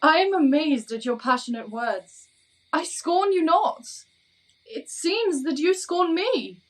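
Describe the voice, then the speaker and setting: A woman's voice: high voice, lively intonation, takes it slowly. An actress playing a devoted, bewildered young woman in a Shakespearean audio drama, speaking verse earnestly and pleadingly.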